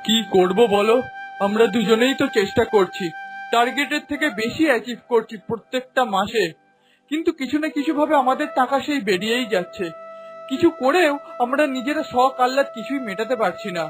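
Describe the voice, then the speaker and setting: A man's voice: very high voice, lively intonation, a little fast, over music. A man voicing a mild, put-upon young husband in a cartoon, defensive and placating. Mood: resigned. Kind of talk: dramatic cartoon voice acting.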